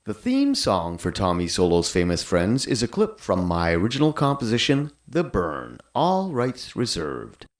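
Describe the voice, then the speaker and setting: A man's voice: lowish voice, huge pitch swings, measured pace, hardly any pauses. A man speaking as a podcast host, delivering a scripted show outro.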